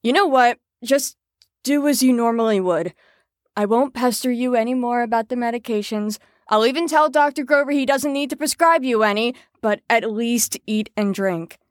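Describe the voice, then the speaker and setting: A woman's voice: fairly high pitch, moderate pace, no room sound, natural voice. A woman in an audio drama playing a firm carer on a patient ward, with a dry, exasperated manner. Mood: firm, stern.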